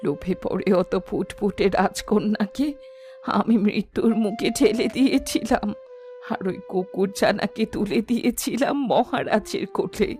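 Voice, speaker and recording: A woman's voice: mid-range voice, measured pace, darkish tone, faint music behind, close to an everyday voice. A woman voicing a wretched, guilt-ridden midwife, lamenting melodramatically in a fairy-tale cartoon. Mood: remorseful lament.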